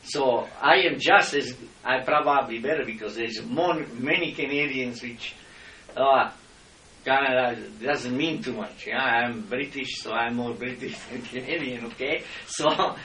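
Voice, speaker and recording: A man's voice: mid-range voice, measured pace, sharp tone, a little hiss or hum. A middle-aged man from the Czech Republic with a Czech accent, arguing his case in an impassioned, lecturing way with non-native grammar. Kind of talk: heated argument with officials. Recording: echoey room, mic at a distance.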